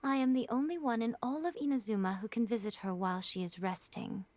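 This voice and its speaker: A woman's voice: a little high, fairly even intonation, a little fast, darkish tone, close to an everyday voice. A woman voicing a sly, teasing shrine priestess in a game cutscene, playful and mocking in manner.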